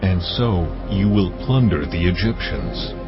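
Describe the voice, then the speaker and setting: An actor playing God as a solemn, commanding divine voice, proclaiming slowly and with weight. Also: male voice, low-pitched, lively intonation. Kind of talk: dramatized scripture reading. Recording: muffled, over loud cinematic music.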